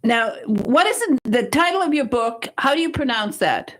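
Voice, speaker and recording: A woman's voice: sits high, lively intonation, moderate pace. A woman hosting a radio talk show, interviewing an author and guiding him with friendly questions. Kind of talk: interviewing a guest.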